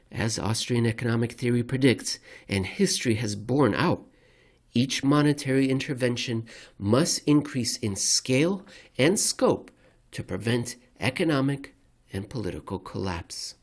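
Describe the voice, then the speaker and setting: A man narrating a scripted commentary with deliberate, somewhat theatrical phrasing. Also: lowish voice. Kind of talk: scripted reading of economic commentary.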